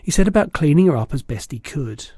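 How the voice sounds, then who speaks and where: A man reading a children's storybook aloud in an expressive narrating style. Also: a little high, a little fast, no echo, clean studio sound. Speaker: storybook reader.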